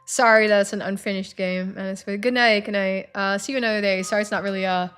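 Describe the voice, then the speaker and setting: Woman streaming a story game, reads it out and chats, mid pitched voice, music behind her. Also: fairly even intonation, fairly quick, fairly bright tone, slight background noise. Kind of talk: live playthrough narration and chat.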